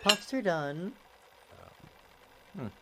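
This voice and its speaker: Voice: medium pitch, lively intonation, extremely slow, loud music behind the voice, poor audio. A performer playing a fussy, affectionate, theatrical male homemaker partner in an audio drama, coy and mock-scolding.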